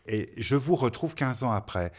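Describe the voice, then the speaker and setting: A man's voice: mid-range voice, animated, moderate pace. Male radio interviewer, mid-range voice, enthusiastic and curious. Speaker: radio host.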